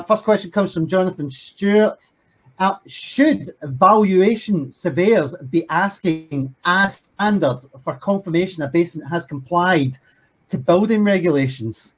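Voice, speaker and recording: A man's voice: high-pitched, low-quality recording. Hesitant man hosting a webinar Q&A. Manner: hesitant, filler-heavy, reads questions slowly. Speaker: webinar host reading audience questions. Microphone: video call.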